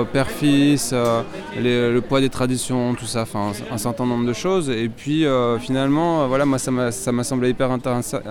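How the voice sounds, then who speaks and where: A French man, a filmmaker, answering interview questions outdoors, hesitant and full of fillers. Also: medium pitch. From France. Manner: hesitant, many fillers and restarts. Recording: loud crowd behind. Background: crowd noise.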